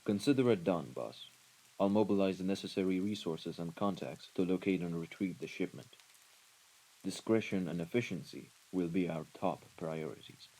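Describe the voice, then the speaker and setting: Man playing a deep-voiced, menacing mafia henchman. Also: darkish tone, rough recording. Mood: calm, deferential. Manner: formal, measured, deliberate wording. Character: menacing, composed mafia right-hand man, formal and dutiful. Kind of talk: scripted audio roleplay acting. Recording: rain and ambience behind the voice.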